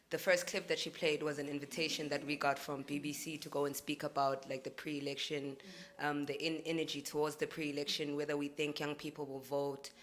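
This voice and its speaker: A woman's voice: sits low, fairly bright tone. A woman on a discussion panel who works as an activist, speaking frankly and confidently with joking asides. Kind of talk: casual panel talk.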